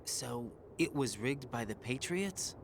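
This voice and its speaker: A young soldier in a mid-range, earnest voice, questioning and unsure of himself. Ambient game noise hums clearly behind him. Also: male voice, medium pitch, animated, takes it slowly. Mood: troubled. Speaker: game character. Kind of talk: video game cutscene voice acting.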